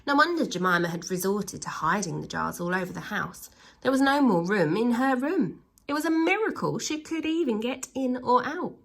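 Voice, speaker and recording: Lively, animated woman reading a children's story. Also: fairly high pitch, gravelly. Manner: theatrical, drawn-out emphasis on key words. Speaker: teacher reading a children's book aloud.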